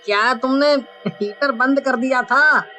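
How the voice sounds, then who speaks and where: Man as a grumbling workman, high pitch, slightly slow, bright sharp tone, cartoon dialogue, faint music. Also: heavily acted. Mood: puzzled. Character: weary, grumbling male factory worker, chilly. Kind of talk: Hindi cartoon voice acting.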